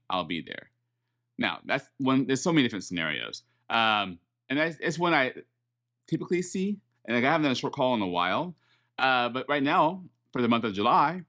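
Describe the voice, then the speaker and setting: Man, lowish pitch, casual explainer, airline pilot, detailed, halting step-by-step delivery. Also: fairly bright tone. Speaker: airline pilot, first officer.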